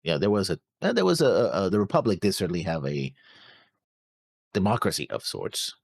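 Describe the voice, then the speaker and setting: A man's voice: sits low, huge pitch swings, vocal fry, breathy edge, dry recording, studio quality. A man co-hosting a casual discussion, agreeing and then carefully qualifying his points. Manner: hedging, conceding, measured qualifications. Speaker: reaction show co-host.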